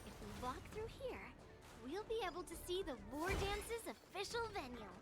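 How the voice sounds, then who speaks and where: Game casting: bold girl swordmaster, high lively voice, breezy authority, music underneath. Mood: eager. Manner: brisk, breezy, commanding. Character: confident, spirited young girl swordmaster mentor.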